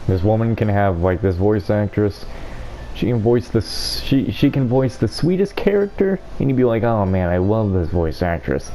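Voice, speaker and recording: A man's voice: fairly low pitch, very animated, normal speed, dark tone, poor audio. A man giving casual, off-the-cuff commentary while playing through a video game. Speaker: gaming YouTuber. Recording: faint background noise.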